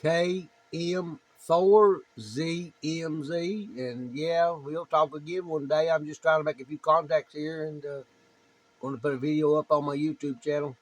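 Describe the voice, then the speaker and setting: A man with a slightly high voice makes casual ham radio small talk. He is plainspoken and tends to say things over again as he talks shop with other operators. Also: steady tone, unhurried, poor audio. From United States. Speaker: amateur radio operator, video maker.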